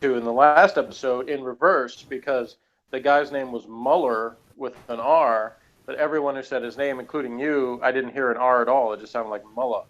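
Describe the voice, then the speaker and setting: Male podcast reviewer, dull muffled lo-fi recording, middling pitch, halting and rambling, self-correcting, casual conversation. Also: steady tone.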